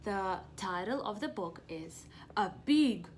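A young woman teaching preschoolers through a picture-book read-aloud, asking questions expressively and pausing for answers. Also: mid-range voice, expressive. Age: young adult. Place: small home room indoors.

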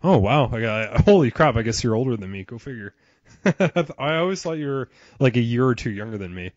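A man's voice: mid-range voice, expressive, a little fast, no room sound, dull audio. A young man in his twenties hosting a casual podcast, laughing often and swearing offhand. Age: 20s. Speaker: podcast host.

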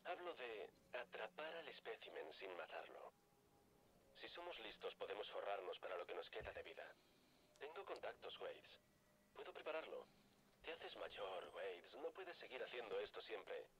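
A shady schemer pitching a deal. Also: male voice, very animated, normal speed, fairly bright tone, a little hiss or hum, low-quality recording. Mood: persuasive. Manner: persuasive, conspiratorial. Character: shady man offering a deal over radio. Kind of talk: game dub dialogue.

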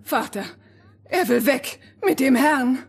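An actress playing a devoted, anxious miller's wife with a fervent, emotional manner in a fairy-tale audio drama. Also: female voice, high voice, lively intonation, takes it slowly, vocal fry, husky, exaggerated voice. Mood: fervent, tearful.

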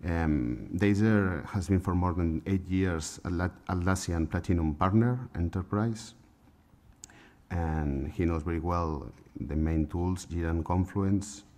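A middle-aged man moderating a conference panel, introducing speakers in halting phrasing with fillers, into a table microphone. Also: low voice, darkish tone. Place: conference room on a panel stage.

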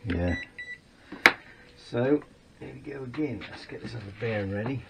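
Lowish gravelly guy mumbling to himself while he wrenches on an engine, workshop noise behind. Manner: muttering, thinking aloud with fillers. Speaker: home mechanic filming his engine rebuild. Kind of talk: casual workshop commentary while working. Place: home workshop shed.

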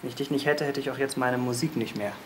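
An actor playing a young, defensive aristocratic heir in a crime audio drama. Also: male voice, medium pitch, fast, music in the background, lightly acted. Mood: earnest. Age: young adult.

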